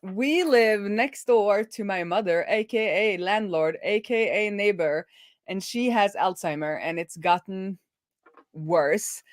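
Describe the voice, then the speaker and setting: A woman hosting a live coding stream, chatting informally and telling things in an animated, conversational way. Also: medium pitch, slow pace, dry recording, studio quality. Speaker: livestream host and web developer.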